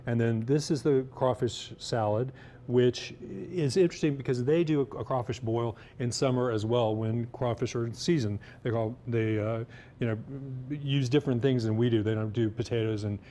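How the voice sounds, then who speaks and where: A man with a soft-edged, mellow voice gives a casual cooking demonstration. He explains as a guest cook, feeling his way through phrases. There is a faint noise behind him. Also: mid-range voice, steady tone, normal speed. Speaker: guest cookbook author and cook. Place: TV kitchen segment.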